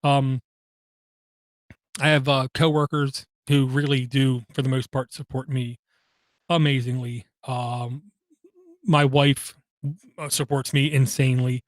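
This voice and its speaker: A man hosting a podcast, talking through personal matters in a halting way, with many pauses and fillers and an occasional stutter. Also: mid-range voice, level tone, dry recording. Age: adult, has kids. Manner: halting, frequent ums and uhs, slight stutter. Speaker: podcast host. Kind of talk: personal monologue.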